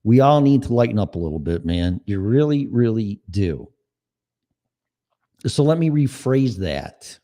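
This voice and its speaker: Animated, profane Chicago-accented man ranting. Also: fairly low pitch, unhurried. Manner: profane, sarcastic, blunt. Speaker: podcast host. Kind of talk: solo podcast rant.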